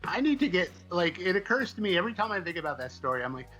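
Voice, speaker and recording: Bright-voiced American man, halting casual storyteller. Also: high voice, very animated, faint music behind, low-quality recording. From Midwestern United States. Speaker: comic book writer, interview guest.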